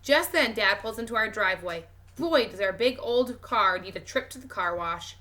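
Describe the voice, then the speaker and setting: Female storyteller, teacher type. Bright tone, expressive and clearly phrased children's book narration. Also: medium pitch, moderate pace. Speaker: teacher reading a children's novel aloud. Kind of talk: expressive read-aloud narration.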